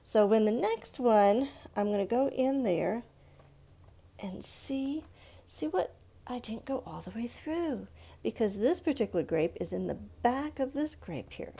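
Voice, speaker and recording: A woman's voice: fairly high pitch, animated, unhurried, dark tone, slightly rough. A woman teaching children to draw, talking casually and patiently through each step in an encouraging way as she works. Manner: patient, encouraging, talking along while drawing. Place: indoors at a countertop.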